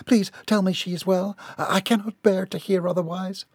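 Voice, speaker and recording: Guy doing a dramatic rich mother for an audiobook, very high voice, plaintive and demanding, a bit husky. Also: fairly even intonation, moderate pace, no room sound. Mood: tearful pleading. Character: showy, overwrought high-society mother.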